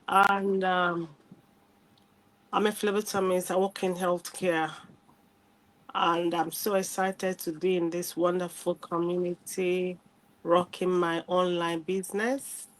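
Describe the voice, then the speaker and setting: Slow, flat-voiced woman. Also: on the low side, poor audio. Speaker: panelist.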